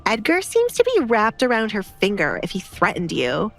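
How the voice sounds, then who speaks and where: A woman's voice: medium pitch, huge pitch swings, moderate pace, a bit bright, soft background music. A woman voicing a spoiled, indignant young noblewoman with a whining, petulant manner in an audiobook drama. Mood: aggrieved. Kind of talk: audiobook drama character acting.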